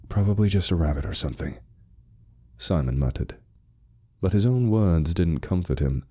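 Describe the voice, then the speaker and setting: Casting: man with a very deep, dark voice for measured, suspenseful horror narration. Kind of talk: scripted narration of a spooky tale.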